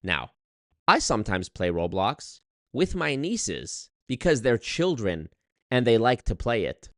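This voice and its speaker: A man telling a story on his livestream in an animated, exasperated way, swearing often and reading chat. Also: mid-range voice, unhurried, no room sound, clean studio sound. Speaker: streamer. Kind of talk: casual livestream rant.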